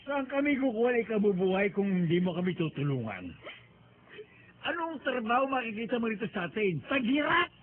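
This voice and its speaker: An animated, fairly high old man's voice badgers through a tinny radio recording. He is a stern, grasping father in a melodrama, brushing every objection aside. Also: brisk. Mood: exasperated. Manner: badgering, dismissive. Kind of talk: radio drama acting. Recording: tinny, slightly noisy radio drama recording.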